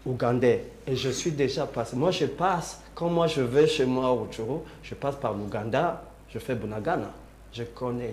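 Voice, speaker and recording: A man's voice: medium pitch, darkish tone. A man from DR Congo with a Congolese accent, speaking personally and insistently as a panelist in a political debate, often repeating his phrases. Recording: very echoey room with faint hum.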